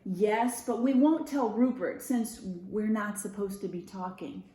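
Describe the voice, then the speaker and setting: A woman voicing an eager, chatty, naive little mouse from a picture book, excitable and chattering. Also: medium pitch, measured pace, natural voice. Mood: cheerful, eager. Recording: roomy echo.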